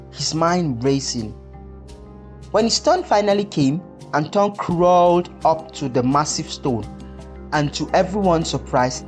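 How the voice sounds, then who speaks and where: Folktale narrator, scripted storybook delivery, medium pitch, music behind. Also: darkish tone.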